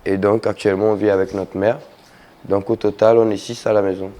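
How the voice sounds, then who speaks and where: A young man from Togo speaks as an interview guest, pausing often with fillers as he answers. His voice sits low with a soft, mellow tone. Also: flat delivery. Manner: hesitant, halting with fillers. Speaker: guest rapper.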